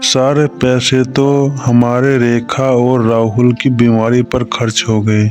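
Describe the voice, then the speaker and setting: A man's voice: mid-range voice, flat delivery, slow pace, darkish tone, lightly acted. A man voicing a poor village farmer and husband in a moral story cartoon. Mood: worried, pleading. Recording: music under the voice.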